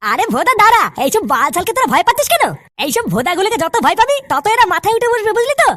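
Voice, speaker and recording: A man's voice: very high-pitched, big pitch swings, racing, no room sound, studio quality, heavily acted. A man voicing a hot-tempered, foul-mouthed village boy in a comic cartoon, with a scolding, swaggering delivery. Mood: defiant. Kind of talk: exaggerated comic cartoon dub. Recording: loud music behind the voice.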